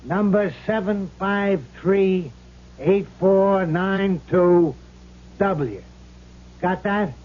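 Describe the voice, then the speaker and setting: An actor playing the ghost of a brash, wisecracking gangster in a 1970s radio drama, talking in tough, curt, bossy slang. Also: male voice, sits high, extremely slow. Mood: brash, impatient. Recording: muffled old radio broadcast.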